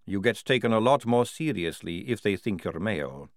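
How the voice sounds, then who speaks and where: A man voicing a young female vampire soldier who passes as male, with a brisk, wry, chatty manner, in an audiobook story. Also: fairly low pitch, lively intonation, no echo, clean studio sound. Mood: wry, exasperated.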